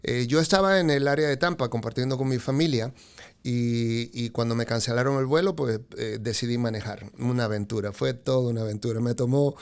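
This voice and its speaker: A man's voice: medium pitch, a little fast, clear, resonant tone. A man in his fifties, a guest on a podcast, telling his career story with many fillers and a habit of saying "correcto". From Peru. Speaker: podcast guest, car dealership expert. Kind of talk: casual interview storytelling.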